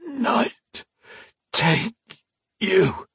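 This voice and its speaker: A man's voice: sits high, very animated, a little slow, gravelly, husky, no room sound, voice pushed up, full character voice. A man doing a gasping, broken young captive. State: gasping, hoarse throat.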